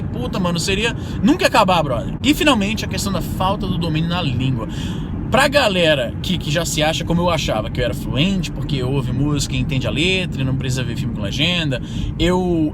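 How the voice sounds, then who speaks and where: Brazilian man in his thirties, fast-talking, slightly high voice, slangy vlog delivery, loud traffic behind. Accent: neutralized Brazilian Portuguese. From Fortaleza, Brazil. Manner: slangy, animated, swears freely. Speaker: YouTuber. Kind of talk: casual vlog monologue. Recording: loud street and car noise.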